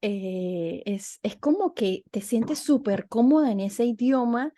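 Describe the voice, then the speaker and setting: A woman's voice: on the high side, expressive, slow, warm tone. A woman hosting a casual interview, talking reflectively and often restarting her phrases. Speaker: host, interviewer.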